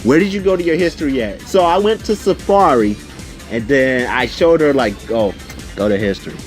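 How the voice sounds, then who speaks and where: A young man telling a personal story casually, in an animated, slangy way, acting out the conversations as he goes. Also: mid-range voice, moderate pace, faint music behind. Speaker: video storyteller.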